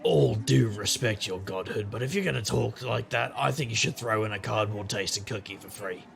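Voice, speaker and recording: Man as a very gravelly, roguish private eye. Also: lowish voice, moderate pace, a little hiss or hum. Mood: wry. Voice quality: gravelly, husky. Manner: wry, world-weary wisecracking. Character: gruff, roguish, hard-drinking male private investigator narrator. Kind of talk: noir audio drama narration and dialogue.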